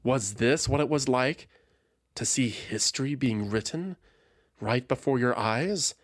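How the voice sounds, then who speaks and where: Slow-paced man narrating dramatic fantasy. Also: mid-range voice. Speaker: audio fiction narrator.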